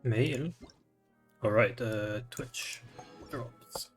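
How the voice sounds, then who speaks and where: A man streaming a game, commenting casually and reading aloud in a halting way, stumbling over words. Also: on the low side, faint music behind.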